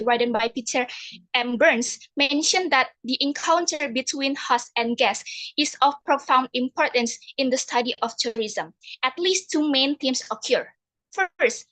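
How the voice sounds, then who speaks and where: A young woman delivering a prepared competition speech, measured and formal. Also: high voice, fairly bright tone. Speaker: speech contestant.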